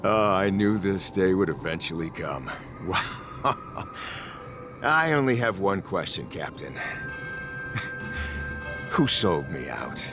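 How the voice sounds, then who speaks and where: An actor playing a scheming, blustering middle-aged businessman father in a video game, with theatrical, drawn-out emphasis. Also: low voice, big pitch swings, music in the background, full character voice. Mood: dramatic, proud.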